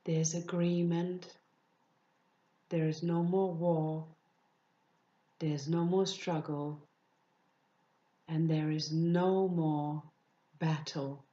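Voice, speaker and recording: A woman leading a guided meditation, speaking softly and soothingly, often dropping into breathy whispers. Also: deep voice, flat delivery, muffled recording. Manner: slow, soft, soothing with breathy whispered phrases.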